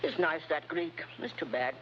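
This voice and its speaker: A woman's voice: sits low, moderate pace, fairly bright tone. A woman playing a flirtatious, carefree informant, coaxing and playful in broken English, in 1950s-style television drama. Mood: casual, carefree. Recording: dull, hissy old TV soundtrack.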